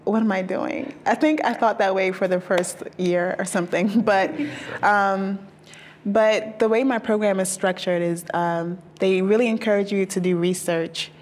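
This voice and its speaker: A young woman from Maryland, a graduate student, speaking earnestly and enthusiastically with thoughtful pauses. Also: medium pitch, fairly even intonation, a little mellow. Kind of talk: casual group interview.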